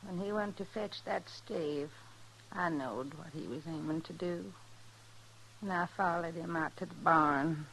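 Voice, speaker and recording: An actress playing a middle-aged, long-abused rural wife in a radio western, plain and quietly resolute, with a rural American accent. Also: female voice, low voice. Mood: grimly resolute. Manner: plain, unhurried, folksy. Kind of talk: 1950s radio western acting. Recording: noisy old radio drama recording.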